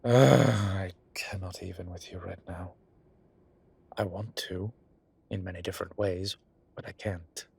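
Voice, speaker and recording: A man playing a flirtatious, wry immortal demon professor in an intimate, conversational audio roleplay, with a low husky voice and a teasing, playful manner. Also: steady tone, measured pace, long pauses, darkish tone, full character voice. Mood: exasperated, playful. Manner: teasing, playful, breathy asides.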